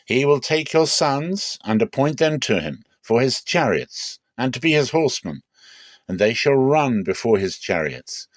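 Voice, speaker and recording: A man's voice: mid-range voice, big pitch swings, a little slow, no echo, pitched above the performer's own voice. Male reader as old prophet, stern, solemn, emphatic warnings, dry sound, dramatic scripture reading.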